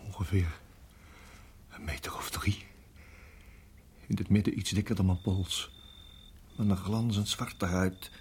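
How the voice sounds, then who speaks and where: A man acting in a radio play as a protective husband, speaking in a halting, urgent hush. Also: sits low, fairly even intonation, slight background noise. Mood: urgent, pleading. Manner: hushed, halting, urgent whispering. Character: tense, protective husband guiding his endangered wife.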